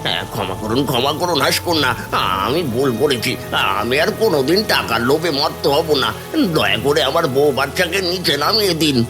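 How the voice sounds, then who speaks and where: A man's voice: fairly high pitch, expressive, fairly quick, music in the background, natural voice. A man voicing a greedy, cowardly village thief in a cartoon, whining, panicky and pleading in an exaggerated style.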